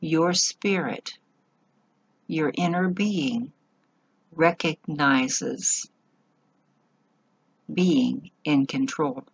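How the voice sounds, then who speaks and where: A woman leading a guided meditation in short, measured phrases with long pauses between them. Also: sits low, vocal fry, husky.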